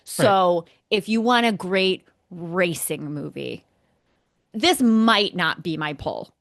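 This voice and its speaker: A woman's voice: fairly low pitch, slow pace, creaky, no room sound, studio quality. A woman co-hosting a podcast, giving her opinions in a reflective, casual, conversational way. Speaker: podcast co-host. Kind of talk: casual movie review discussion.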